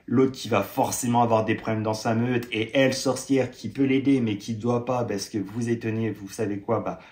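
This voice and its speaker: A man's voice: medium pitch, steady tone, moderate pace, a little mellow. A young man vlogging about upcoming books, chatty and playful, reading blurbs aloud and adding joking asides. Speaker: book vlogger. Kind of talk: casual book review, reading blurbs aloud. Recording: echoey room.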